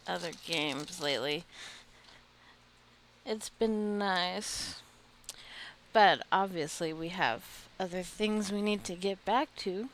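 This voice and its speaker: A woman giving relaxed, chatty commentary while playing a video game, talking to the game characters and laughing often. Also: mid-range voice, slight background noise. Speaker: gaming video creator. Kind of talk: casual gameplay commentary.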